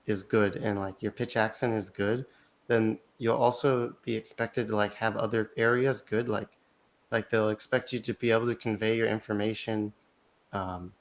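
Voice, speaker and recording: A man as an interview guest, answering thoughtfully in casual conversation, often restarting his sentences and filling gaps with "like". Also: on the low side. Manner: thoughtful, halting, with frequent restarts and "like" fillers. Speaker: interview guest, language learner.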